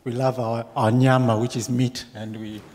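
A man from Zimbabwe, relaxed and a little hesitant, interviewed on stage with a handheld mic in a church. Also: medium pitch, moderate pace. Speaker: church member. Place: large church hall. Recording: reverberant church hall.

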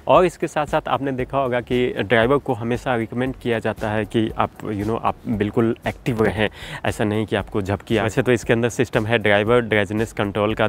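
A man's voice: mid-range voice, a little hiss or hum. A man in a casual vlog, explaining car tips in a conversational way, often dropping in English fillers like "you know" and "okay". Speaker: car vlogger.

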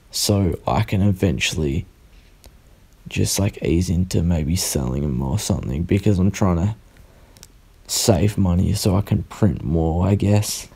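A young man, sounding croaky and tired, rambling through a casual unboxing voice-over at home and correcting himself as he goes. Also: low-pitched, warm tone. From Australia. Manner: rambling, self-correcting, unhurried. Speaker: sticker artist vlogger.

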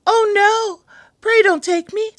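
Woman voicing a tiny, squeaky-high timid billy goat. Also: steady tone, slow pace, a bit bright, no room sound, studio quality, full character voice. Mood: pleading. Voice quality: small, high voice. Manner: meek, pleading. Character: tiniest young male billy goat, timid, pleading. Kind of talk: storybook character voice.

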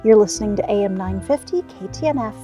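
A woman with a soft-edged, mid-pitched voice reads scripted radio announcements as host. Music plays behind her. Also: lively intonation, fast talker.

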